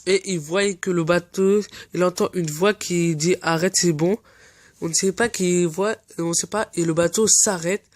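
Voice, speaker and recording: A man hosting a fan channel, stuffy from a cold, giving casual, earnest commentary that often pauses to search for words. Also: high voice, fairly even intonation, no echo, studio quality. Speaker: anime fan channel host.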